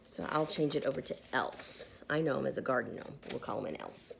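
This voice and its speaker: A woman reading a children's book aloud like a teacher, deliberate and pausing so listeners can join in. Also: rumbling low, animated, creaky, a little hiss or hum. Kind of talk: interactive children's read-aloud.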